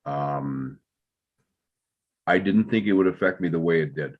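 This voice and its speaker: A man giving halting, heartfelt thanks after a table read, on a dull, muffled microphone. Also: low voice.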